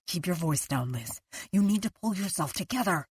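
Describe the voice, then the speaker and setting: A woman's voice: fairly low pitch, expressive, normal speed, breathy edge, no room sound, studio quality. A woman doing a stern, controlling husband. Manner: clipped, hushed commands. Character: controlling, tense husband hushing his wife. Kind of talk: audiobook character voice.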